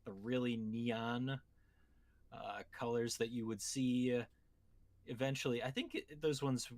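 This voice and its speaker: A man's voice: medium pitch, fairly even intonation, unhurried. A man co-hosting a podcast, chatting casually about video games and explaining things in a meandering, conversational way. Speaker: podcast co-host. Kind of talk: casual video game chat.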